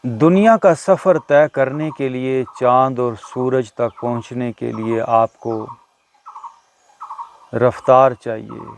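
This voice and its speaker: A man leading a guided meditation as a teacher, speaking deliberately with short pauses between phrases. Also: medium pitch, creaky, slight background noise. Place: outdoors in a natural setting. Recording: outdoor recording with birdsong behind. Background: birdsong.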